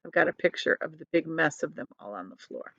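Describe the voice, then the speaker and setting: A woman's voice: low-pitched, lively intonation, fairly quick, creaky, bright, forceful tone, no room sound, lo-fi sound. A woman reading a story aloud to children like a teacher, expressively, with simple explanatory asides.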